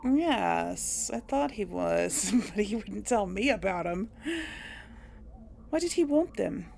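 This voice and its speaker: Woman let's-play host, lively pitch, unhurried, thinks aloud with chuckles. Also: creaky, slight background noise. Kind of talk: casual gameplay commentary.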